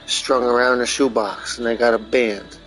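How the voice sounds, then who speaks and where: A man's voice: mid-range voice, fairly even intonation, normal speed, a bit bright, soft background music, rough recording. A man chatting casually over a video game, swearing freely and rambling through crude jokes. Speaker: streamer reacting to a video game. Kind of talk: casual profane gameplay chat.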